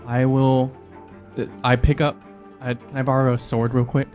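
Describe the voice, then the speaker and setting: A man's voice: mid-range voice, flat delivery, normal speed, a little mellow, soft background music. A man playing a tabletop game, casually bantering and speaking for his character.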